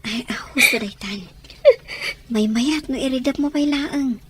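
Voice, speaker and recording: Young female friend, gentle, soothing, hushed, patient, consoling, radio drama. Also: sits high, measured pace, slight background noise.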